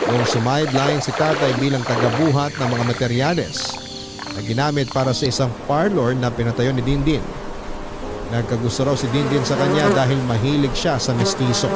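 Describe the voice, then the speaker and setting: A man narrates a personal story in the first person for an audio drama. His voice sits at a medium pitch, with music clearly playing behind the scripted telling.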